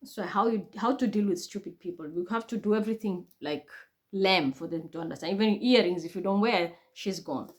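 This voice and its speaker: A woman's voice: mid-range voice, a little fast. A woman with a Kenyan accent giving a blunt, heated monologue, swearing freely and building to outbursts. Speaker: online commentator. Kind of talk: impassioned monologue.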